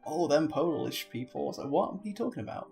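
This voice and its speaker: Lively young Englishman joking over music. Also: a little high, fast talker, gravelly. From Yorkshire, England. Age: 20s. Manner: wry, jokey. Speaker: Let's Play co-commentator. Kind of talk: casual joking game commentary. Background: game music.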